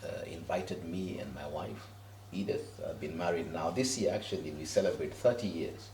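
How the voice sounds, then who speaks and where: Deep, gravelly Kenyan man, far off. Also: moderate pace, a little mellow, reverberant, slight background noise. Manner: easygoing, laughs often, many fillers. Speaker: pastor. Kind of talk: relaxed interview answers. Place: living room in a house.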